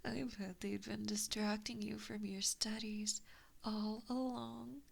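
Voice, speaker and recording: A woman's voice: mid-range voice, level tone, heavily acted. A woman voicing an evil, possessive giant kitsune in a whispered ASMR roleplay, teasing and cruel, close on a binaural mic. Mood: teasing, coaxing. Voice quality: whispery, breathy. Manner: slow, taunting, mock-sweet. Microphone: close binaural microphone.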